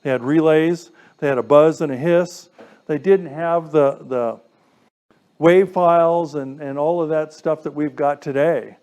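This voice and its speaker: A man's voice: a little high, steady tone, measured pace, darkish tone. A man presenting an informal lecture in a conversational way, often checking in with his listeners. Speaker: class presenter. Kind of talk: informal lecture to a radio club.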